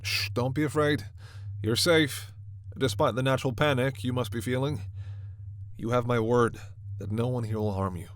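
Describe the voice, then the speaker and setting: A man playing a formal alien scientist in a sci-fi ASMR roleplay, stern and authoritative yet reassuring, with measured, precise diction. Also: mid-range voice, steady tone, no echo, natural voice. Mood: urgent reassurance. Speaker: voice actor in audio roleplay.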